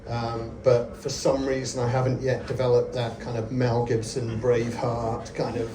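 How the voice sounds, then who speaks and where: A man's voice: mid-range voice, flat delivery, normal speed, a crowd in the background. A man in his forties giving a friendly, self-deprecating lightning talk at a meetup, chatting casually with lots of fillers. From Scotland. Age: 40s. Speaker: conference speaker. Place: event venue with audience. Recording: echoey room with ambient noise.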